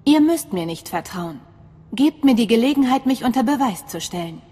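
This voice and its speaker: A woman playing a composed, determined secret agent in a video game, measured and terse. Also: a little high, lively intonation, warm tone. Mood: cool, guarded. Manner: measured, terse, self-assured. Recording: long reverb, faint music behind.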